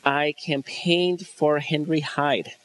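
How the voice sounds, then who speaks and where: A man's voice: fairly high pitch, fairly even intonation, slow pace, fairly bright tone, rough recording. A man from suburban Chicago introducing himself on a panel, with dry, self-deprecating humor.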